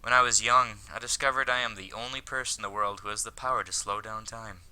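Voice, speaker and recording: A man voicing an earnest, lovestruck, self-conscious high school boy from an anime visual novel, in a wordy, dramatic read-aloud delivery. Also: steady tone, fast, pushed and bright, clean tone, rough recording. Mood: wistful, dramatic.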